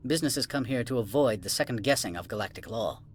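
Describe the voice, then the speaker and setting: An actor playing a haughty alien corporate administrator in a video game, condescending, formal and clipped, dismissive of outsiders. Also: male voice, mid-range voice, lively intonation, fast, a little hiss or hum, close to an everyday voice. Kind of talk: video game cutscene acting.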